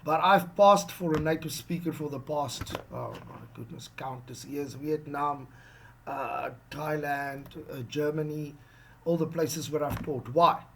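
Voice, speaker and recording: A middle-aged man from South Africa with an Afrikaans accent, giving a casual lesson on job interviews, animated and checking in often with "okay?". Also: on the high side, a little slow, vocal fry, a little hiss or hum. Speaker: online English teacher.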